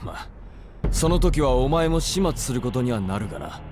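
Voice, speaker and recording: A man's voice: medium pitch, very animated, normal speed, noisy background, close to an everyday voice. A man voicing a cold-blooded gangster in a dramatic game cutscene, threatening and domineering. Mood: cold threat.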